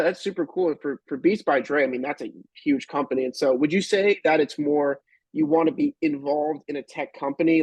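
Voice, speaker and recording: A man's voice: fairly high pitch, creaky, darkish tone, slightly rough, no echo, muffled recording. A man hosting an interview podcast, asking long, rambling questions with enthusiasm and frequent restarts. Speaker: podcast host.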